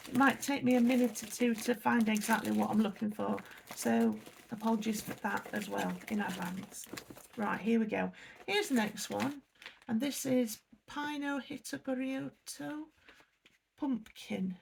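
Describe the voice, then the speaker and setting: A woman's voice: a little high, fairly even intonation, measured pace, gravelly, darkish tone, slight background noise. An English woman chatting casually as she unboxes a snack box, reading the product blurbs aloud and apologising for her pronunciation. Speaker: unboxing vlogger. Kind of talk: casual chat while unboxing.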